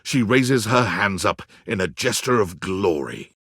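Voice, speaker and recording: A male video game narrator with a slightly rough, husky voice and wide, lively swings in pitch. He reads in a theatrical, deliberate way, relishing each phrase like a storyteller. The sound is dry and close, free of room. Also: no room sound. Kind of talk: dramatic scripted narration.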